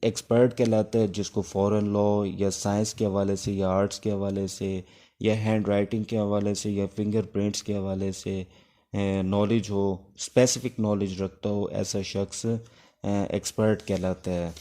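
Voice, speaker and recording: Low, mellow-voiced Pakistani man teaching. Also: flat delivery, measured pace. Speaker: law teacher. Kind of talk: informal exam-prep lecture in Urdu mixed with English legal terms.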